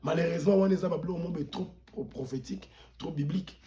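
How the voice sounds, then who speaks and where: A man with a Congolese accent and a soft-edged, mellow voice pitched a little high. He speaks as a pastor turned political commentator, indignant and emphatic. He repeats words to drive points home and slips between languages mid-sentence. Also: fairly even intonation, normal speed. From Democratic Republic of the Congo. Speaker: pastor, interview guest. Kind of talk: impassioned political commentary in an interview.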